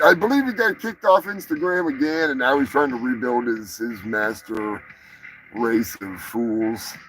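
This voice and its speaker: Gravelly-voiced man ranting sarcastically. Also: mid-range voice, a bit bright, low-quality recording. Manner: sarcastic, rambling, laughs often. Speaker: live show host. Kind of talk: casual profane news commentary.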